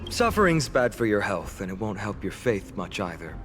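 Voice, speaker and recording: A lively, kindly young male guide. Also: fairly low pitch, normal speed. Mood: earnest. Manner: friendly, reassuring, instructive. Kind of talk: video game dialogue. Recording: music behind the voice. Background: music.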